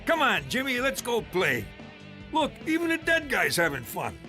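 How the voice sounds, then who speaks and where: Crude brash cartoon uncle, high and lively, pushy. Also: male voice, normal speed, faint music behind, heavily acted. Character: crude, brash uncle, six times divorced.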